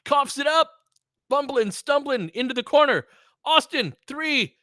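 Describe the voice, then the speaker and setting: A man's voice: very high voice, expressive, unhurried, sharp tone, no room sound. A man giving live basketball play-by-play with informal, slangy fan reactions mixed into casual chat. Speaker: host of a fan play-by-play stream.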